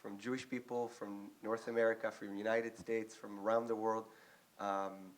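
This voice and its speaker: A young adult man from Israel with an Israeli accent, giving an earnest speech to an audience as an agency representative, with frequent ums and pauses. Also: fairly low pitch, flat delivery.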